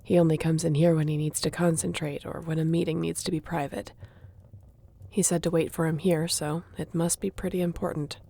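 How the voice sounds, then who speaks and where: A woman's voice: low-pitched, flat delivery, fairly quick, gravelly, mellow. A woman playing a sassy, competitive young shifter in an audio roleplay, talking in a casual, teasing way. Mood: casual explaining. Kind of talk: audio roleplay acting, addressing the listener.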